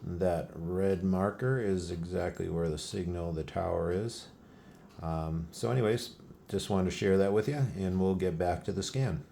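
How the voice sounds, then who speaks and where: A man's voice: deep voice, measured pace, gravelly. A man giving a casual, unscripted narrated demonstration as a radio hobbyist host, explaining things informally. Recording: loud shortwave radio static and noise. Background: shortwave radio broadcasts and static.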